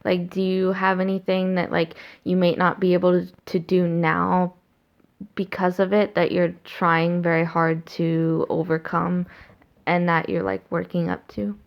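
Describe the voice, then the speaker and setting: Slow, gravelly woman asking halting questions. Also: on the low side, level tone, muffled recording. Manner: hesitant, halting questions with frequent backchannel murmurs. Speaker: interviewer. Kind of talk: casual interview questions.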